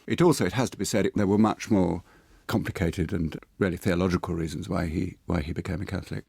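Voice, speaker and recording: A man's voice: deep voice, steady tone, fast, gravelly, darkish tone, breathy edge, dry recording. A man talking conversationally as an interview guest, in a discursive, slightly hesitant way. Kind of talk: conversational anecdote telling.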